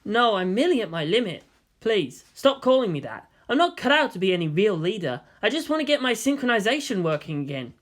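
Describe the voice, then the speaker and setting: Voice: medium pitch, big pitch swings, fairly quick, pitched above the performer's own voice, natural voice. A narrator voicing an exasperated, sarcastic teenage boy hero in a fantasy story. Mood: irritated.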